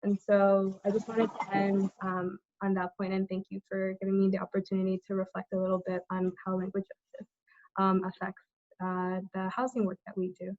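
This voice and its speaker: A woman's voice: mid-range voice, monotone, a little fast, darkish tone, no room sound. A young woman who is a tenant organizer, speaking on a video call with many hesitant ums and uhs. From United States. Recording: muffled, dry video call audio.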